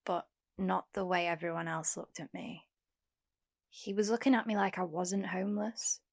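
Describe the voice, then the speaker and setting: Casting: actress as young homeless singer, guarded yet hopeful, slightly low voice, candid audio drama delivery. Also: female voice, a bit bright. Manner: plain-spoken, candid, short replies. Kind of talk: first-person audio drama narration and dialogue.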